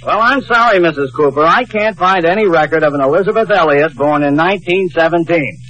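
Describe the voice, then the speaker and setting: An American actor playing a fussy, proud middle-aged records clerk in an old radio sitcom, speaking in a prim, officious way. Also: male voice, on the high side, a little fast, pushed and bright, muffled recording. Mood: apologetic. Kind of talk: 1940s-50s radio sitcom acting. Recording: old radio recording, faint studio audience.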